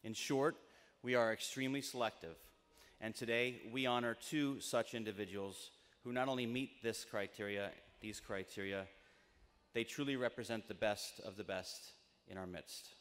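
A middle-aged American man giving a formal ceremonial speech in measured, emphatic phrases at a podium microphone. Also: fairly low pitch, steady tone, fairly bright tone. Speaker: university trustee speaking at commencement. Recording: long reverberant PA in large tent.

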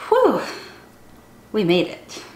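A young woman, a children's storytime teacher, reading a picture book aloud in an animated, sing-song way, speaking straight to young listeners. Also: mid-range voice, drawn-out words. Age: young adult. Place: small room indoors. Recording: roomy indoor echo.

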